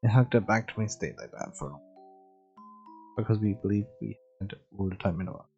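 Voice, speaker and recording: A woman's voice: extremely low pitch, a little fast, gravelly, dark tone, lo-fi sound, soft background music. A woman live-streaming, reading visual novel narration aloud and reacting casually. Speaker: game streamer.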